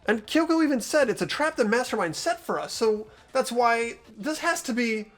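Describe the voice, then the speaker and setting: A woman's voice: on the high side, animated, normal speed, faint music behind, close to an everyday voice. A woman playing an earnest, flustered teenage student in a game, stammering and pleading urgently. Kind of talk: hobby game voice acting.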